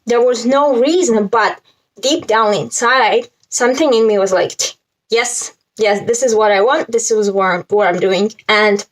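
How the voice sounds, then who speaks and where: Slow, higher-pitched Latvian-accented young woman. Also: poor audio. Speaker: spiritual self-help vlogger. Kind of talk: casual talk to camera, explaining a list.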